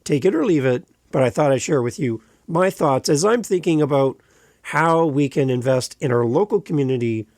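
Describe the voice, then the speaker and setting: A man's voice: fairly high pitch, animated, normal speed, a little mellow, no room sound, clean studio sound. Chatty Canadian man hosting a podcast. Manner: chatty and rambling, with light humor. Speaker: podcast host. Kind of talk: solo podcast monologue.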